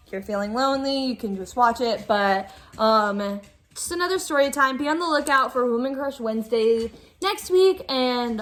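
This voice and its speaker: A woman schoolteacher reading aloud in an expressive, dramatic storytelling style with casual asides. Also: on the high side. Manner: animated, dramatic storytelling delivery.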